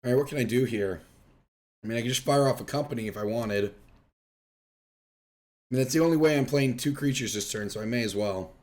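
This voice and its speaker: A young man talks through a card game match as host, his voice worn thin and going hoarse from illness. He sits at an ordinary middle pitch and keeps a loose, chatty, self-deprecating manner throughout. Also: a little fast, pauses often, darkish tone. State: losing his voice. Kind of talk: casual gameplay commentary.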